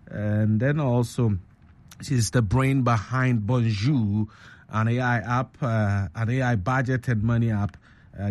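A man hosting a breakfast radio show, asking a guest measured, probing questions. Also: fairly low pitch, darkish tone. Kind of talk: radio interview.

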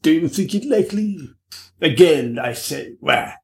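A man's voice: a little high, lively intonation, unhurried, gravelly, pitched above the performer's own voice. A man reading an audiobook voices a shrewd detective who questions insistently. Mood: probing.

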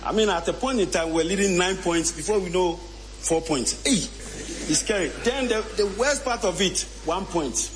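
A man, a football coach giving a slow, earnest thank-you speech. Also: high voice, lively intonation, a little hiss or hum.

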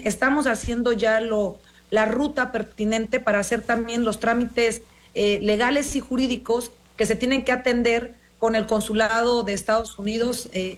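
Middle-aged woman, Mexican accent, somewhat high pitch, politician in interview, formal, emphatic, argumentative, repetitive. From born in Los Angeles, Mexican.

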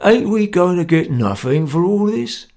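A man's voice: high-pitched, sing-song, measured pace, a little mellow, voice pushed up, full character voice. A man voicing a grim, threatening working-class man.

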